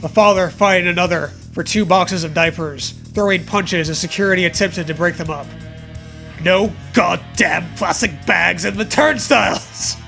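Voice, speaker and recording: A man's voice: high voice, moderate pace, gravelly, pushed and bright, faint music behind. A man giving a comedic, mock-dramatic reading aloud as co-host, with profane banter.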